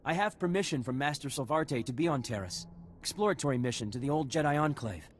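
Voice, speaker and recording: An actor playing an earnest, deferential young Jedi explorer in a video game. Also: male voice, fairly high pitch, animated, fast talker, slight background noise, close to an everyday voice. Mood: startled. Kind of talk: video game character acting.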